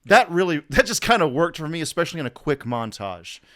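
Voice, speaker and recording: A man's voice: on the high side, sing-song, fairly quick, fairly bright tone. A man talking casually as a podcast panelist, blunt and prone to swearing. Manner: blunt, profane. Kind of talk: casual discussion.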